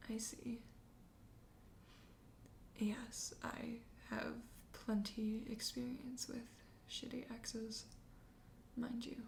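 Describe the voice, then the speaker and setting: A woman acts out a suave vampire host in an ASMR roleplay, murmuring in a soft, breathy whisper with a dark, mellow tone. The delivery is slow, coaxing and flirtatious, set in a roomy, echoing space. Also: a little high. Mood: playful, curious. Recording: roomy echo, faint background noise.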